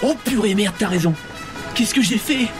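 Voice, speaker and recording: Loud music sits behind an actor as a guileless young male pirate sidekick. He talks casually, cheeky and excitable, in a comedic audio drama. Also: sits high, normal speed, lightly acted.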